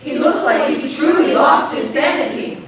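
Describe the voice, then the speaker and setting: An actress playing a worried sailor in a stage chorus, declaiming loudly. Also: female voice, sits high, animated, moderate pace, a little hiss or hum. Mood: alarmed. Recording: echoey room, far from mic, audience.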